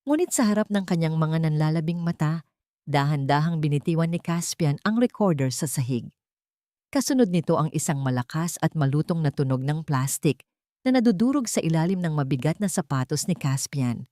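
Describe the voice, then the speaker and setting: A woman's voice: deep voice, animated, fairly quick, dark tone, dry recording, clean studio sound. A woman narrating a dramatic audio story in a somber, emotive way.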